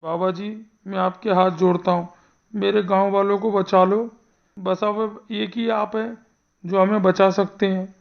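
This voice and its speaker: A woman playing an earnest, anxious male village headman in an animated horror story, pleading as she speaks. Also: mid-range voice, measured pace, gravelly, lo-fi sound. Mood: fearful, pleading. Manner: earnest, imploring.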